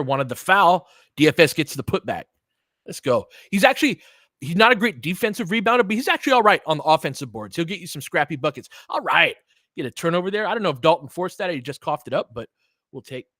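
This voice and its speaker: A man's voice: on the high side, expressive, a little fast, bright, forceful tone, no echo, studio quality. A man calling a basketball game in a conversational, slangy way, swearing casually as he mixes play-by-play with analysis. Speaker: podcast host doing play-by-play.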